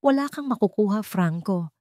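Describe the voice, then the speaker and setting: A woman's voice: medium pitch, animated, moderate pace, dark tone, no echo, clean studio sound, voice pushed up, close to an everyday voice. A woman voicing a wealthy businesswoman who speaks heartfelt and firm words in a storybook romance. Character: billionaire businesswoman, proud but tender in love.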